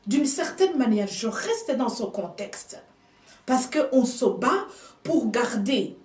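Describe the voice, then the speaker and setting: Female preacher, non-native speaker, measured emphatic sermon, distant echoey pickup. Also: fairly high pitch, sing-song. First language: another language than French. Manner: slow, emphatic preaching with hushed asides. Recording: echoey room, speaker off mic.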